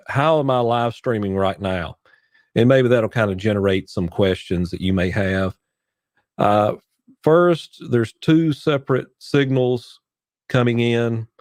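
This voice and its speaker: A man hosting a casual live church-tech Q&A from his home studio, sick with a cough, rambling as he clicks through his gear on a boom-arm condenser mic. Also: a little mellow, no echo. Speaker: church tech livestream host. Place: home studio, heater running behind.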